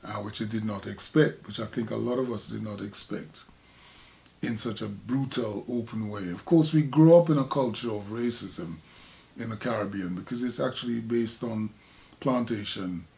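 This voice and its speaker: An older man from Jamaica with a Jamaican accent, an artist recalling his past in a halting, reflective conversation. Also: on the low side, creaky, mellow, low-quality recording. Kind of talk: casual recollection in conversation.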